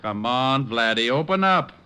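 An actor playing a suave, wisecracking private detective in a vintage radio drama, narrating and talking with smooth, wry humor. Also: male voice, mid-range voice, lively intonation, unhurried, sharp tone. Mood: coaxing. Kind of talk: 1940s-50s radio detective acting and narration. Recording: old radio drama recording with music.

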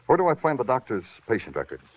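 An American actor playing a wry, world-weary New York police detective in a vintage radio noir drama. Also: male voice, mid-range voice, huge pitch swings, fast talker. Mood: businesslike. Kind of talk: 1950s radio noir acting and narration. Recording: muffled old radio recording with music.

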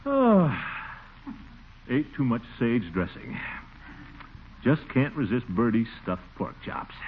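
An actor playing a pompous, easily huffy middle-aged uncle in a blustery manner, in 1940s radio comedy style. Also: male voice, mid-range voice, huge pitch swings, takes it slowly. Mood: self-satisfied. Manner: blustery, pompous, chuckling and sighing. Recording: old tinny 1940s radio broadcast, hissy.

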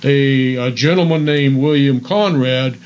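A man's voice: medium pitch, measured pace, clear, resonant tone, lo-fi sound. An older man co-hosting a radio show, chatting casually with enthusiasm. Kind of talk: casual chat about old-time radio.

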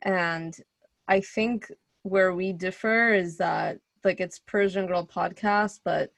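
A woman's voice: lowish voice, steady tone, slow, creaky, a bit bright, dry recording. A woman with an American accent from the West Coast, co-hosting a podcast in an informal, wordy conversational way with trailing asides. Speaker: podcast co-host.

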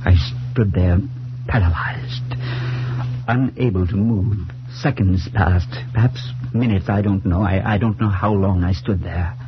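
Deep-voiced grave priest, old radio. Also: male voice, lo-fi sound. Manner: solemn, measured, dramatic. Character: grave priest, concerned friend recounting a horror. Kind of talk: 1940s radio acting and first-person narration. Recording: noisy band-limited 1940s radio recording with hum.

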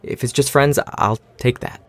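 Audio drama role, male actor: friendly young male newcomer, natural, relaxed and gently joking delivery. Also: medium pitch, moderate pace, natural voice. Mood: kind, reassuring. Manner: casual, lightly joking.

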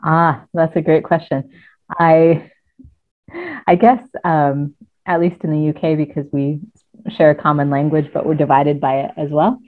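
A woman from the United States with a mixed American and British accent, speaking thoughtfully as a guest coach, often pausing with ums. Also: low-pitched, little pitch movement. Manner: thoughtful, hesitant with frequent ums. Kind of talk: casual interview chat. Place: at home near Cardiff. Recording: tinny phone-like remote call.

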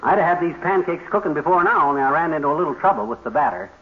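Noisy old-radio bumbling husband played by an actor. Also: male voice, fairly high pitch, animated, racing, muffled recording, lightly acted. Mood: coaxing. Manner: folksy slangy wheedling and bragging. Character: bumbling, boastful, cheerful husband cooking in the kitchen. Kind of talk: 1940s radio comedy acting.

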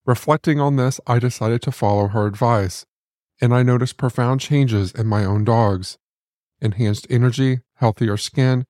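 A man's voice: mid-range voice, warm tone, no room sound. A man reading scripted podcast narration as the host, close to the microphone. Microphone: close studio microphone. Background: music.

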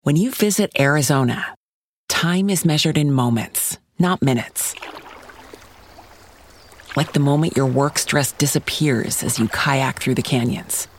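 A man's voice: on the high side, measured pace, slight background noise. A man reading scripted advert narration as an announcer.

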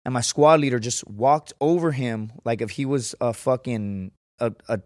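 A man's voice: medium pitch, normal speed, a little mellow, no echo. Profane storytelling man, dry studio sound. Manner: profane, storytelling, acts out quotes in a raised voice. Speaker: podcast guest. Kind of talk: casual chat.

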